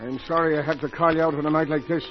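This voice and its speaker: Male actor as apologetic rural man, rapid speech, slightly high, plain, earnest, muffled vintage radio drama. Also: steady tone, faint music behind, close to an everyday voice. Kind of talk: old-time radio mystery acting. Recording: muffled, lo-fi old radio recording.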